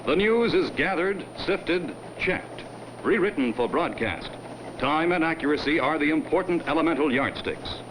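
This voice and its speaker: A man's voice: on the high side, lively intonation, normal speed, background noise. A man delivering formal scripted narration for a 1950s promotional film, recorded on a vintage soundtrack.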